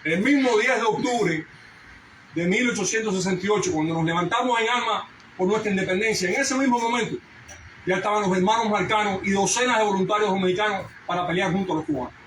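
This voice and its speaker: A middle-aged man from Cuba giving an impassioned speech at an outdoor commemoration, fervent and rising to shouts. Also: high voice, fast, reverberant, recorded from a distance, slight background noise, rough recording. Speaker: speaker at a commemoration. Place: outdoor garden event.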